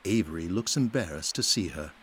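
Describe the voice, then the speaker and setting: A man's voice: deep voice, expressive, brisk, slight background noise. A man reading scripted narration for an audio drama.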